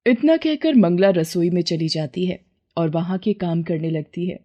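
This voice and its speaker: A woman's voice: fairly low pitch, normal speed, dark tone, dull audio. A woman narrator telling a scripted moral tale in a storytelling style.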